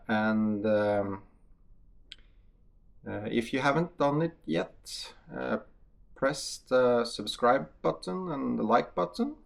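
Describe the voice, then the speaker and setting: A man with a Norwegian accent, a native Norwegian speaker, giving a halting, matter-of-fact casual review of hunting bullets. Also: lowish voice, vocal fry. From Norway. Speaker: hunter testing bullets.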